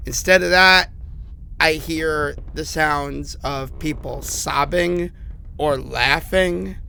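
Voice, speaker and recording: A man playing a battered, sardonic man trapped in a haunted house, rambling haltingly as if leaving recorded messages in a horror audio drama. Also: a little high, creaky, sharp tone, slight background noise, exaggerated voice. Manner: halting, rambling, self-deprecating.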